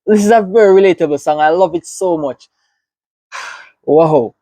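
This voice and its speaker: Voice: medium pitch, slow pace, a little mellow, dry recording. Earnest Jamaican reactor, dry close sound. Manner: earnest, reflective, leans on "right" and "wow". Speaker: reaction video host. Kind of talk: casual reaction commentary.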